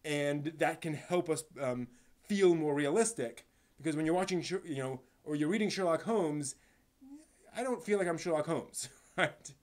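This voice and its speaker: Halting, casual male live stream host. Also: on the high side. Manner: halting, rambling, stumbles over words. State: tired. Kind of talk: casual live stream chat, answering chat questions.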